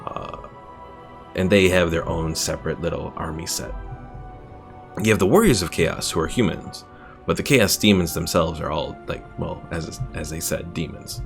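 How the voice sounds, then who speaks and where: A man's voice: sits low, moderate pace, gravelly, soft background music. A man streaming a strategy game, chatting casually, rambling about the lore and reading viewers' messages aloud. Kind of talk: casual gameplay commentary.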